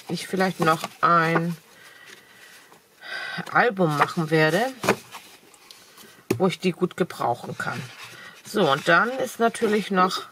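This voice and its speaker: A woman's voice: on the low side, a bit bright, a little hiss or hum. A woman with a cold, chatting casually and enthusiastically while showing craft supplies at her desk. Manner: chatty, enthusiastic, many fillers. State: has a cold. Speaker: crafting vlogger. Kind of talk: casual haul video. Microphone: camera over a desk, hands only.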